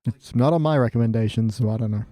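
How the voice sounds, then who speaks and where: A man's voice: mid-range voice, fast, warm tone. A man as a podcast guest, chatting casually with dry, joking asides. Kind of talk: casual comedic chat.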